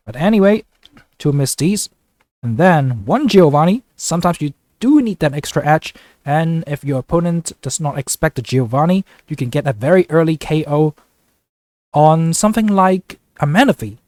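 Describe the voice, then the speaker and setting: Upbeat man with a flu-rough voice. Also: fairly high pitch, animated, moderate pace, darkish tone, no room sound. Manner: upbeat, chatty, jokey. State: congested from a flu. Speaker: gaming YouTuber. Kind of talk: casual gameplay commentary.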